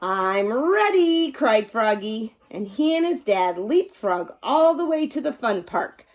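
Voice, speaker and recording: A woman's voice: on the high side, animated, measured pace, darkish tone. A woman storyteller reading a children's picture book aloud expressively, acting out the sound words with drama.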